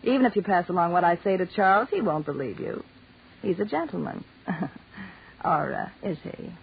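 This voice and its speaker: An actress playing a brazen, scheming, fading American film star in a 1940s radio drama, slangy and mocking. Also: female voice, on the low side, expressive, moderate pace, creaky, a little hiss or hum. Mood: cynical, brazen. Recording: muffled 1940s radio recording.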